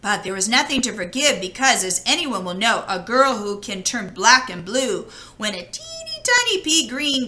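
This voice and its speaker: Woman, children's storybook reader, fairly high pitch, bright tone, expressive delivery. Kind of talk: expressive children's read-aloud, with casual asides.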